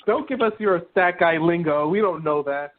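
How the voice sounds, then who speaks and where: Slightly high-voiced man on a tinny line. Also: normal speed. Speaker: podcast co-host. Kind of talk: casual sports call-in chat. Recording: tinny phone-like line with faint hiss.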